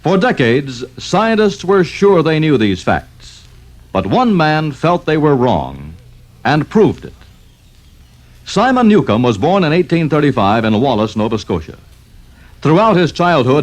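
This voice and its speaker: A man's voice: on the high side, big pitch swings, normal speed. A man reading scripted educational narration as a 1950s radio announcer, with formal, emphatic storytelling.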